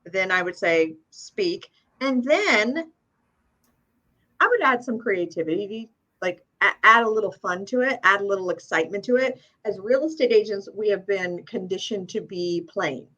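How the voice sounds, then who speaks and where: A middle-aged woman chats as a guest on a talk show, giving upbeat, practical advice. Her pitch sits in the ordinary middle, and she keeps checking in with a quick "right?" as she talks. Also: fairly bright tone, poor audio. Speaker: real estate agent guest. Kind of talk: casual interview chat.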